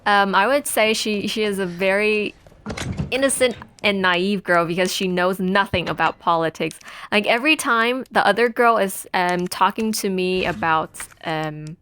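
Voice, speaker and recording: A woman in her twenties from Taiwan, chatting and telling stories while playing a video game, rambling with many pauses. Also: mid-range voice, fairly bright tone, a little hiss or hum. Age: 20s. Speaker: let's play gamer.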